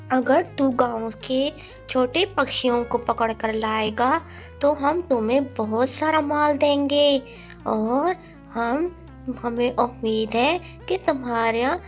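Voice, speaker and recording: Scheming male owl for a kids' cartoon, voiced by a woman: high pitch, smooth-talking flattery, faint music underneath. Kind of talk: children's cartoon story voice.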